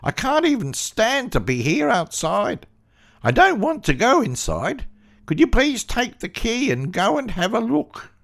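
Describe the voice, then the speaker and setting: A man voicing a fretful old man with a shrill, high-pitched voice. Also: huge pitch swings, measured pace, vocal fry, fairly bright tone, exaggerated voice. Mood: pleading. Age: 60s. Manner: shrill, anxious pleading.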